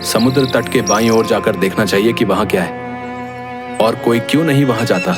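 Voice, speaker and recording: A man voicing a bold, curious fisherman's son in an animated story, insistent and coaxing. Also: on the low side, normal speed, over music, close to an everyday voice. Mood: determined.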